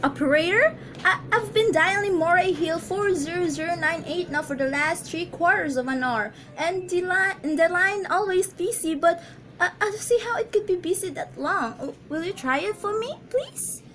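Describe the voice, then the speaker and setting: A woman acts out a frail, imperious wife in a radio play, very high and piercingly bright. She stammers and frets, agitated and demanding. A faint noise sits on the amateur recording. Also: normal speed. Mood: fretful, nervous. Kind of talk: amateur radio drama acting.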